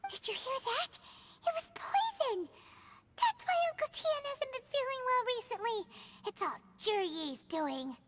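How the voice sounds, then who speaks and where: A tiny female fairy companion for an anime game. The voice is extremely high, squeaky and childlike, bouncing with indignant excitement. Loud noise sits behind it. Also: measured pace, heavily acted. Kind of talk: anime-style video game voice acting.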